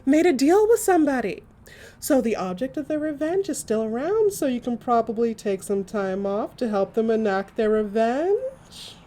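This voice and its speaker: A woman acting a chatty, excitable gossip who tells stories to a friend in a theatrical, emphatic way, in a comic audio drama monologue. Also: a little high, normal speed. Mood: excited gossip.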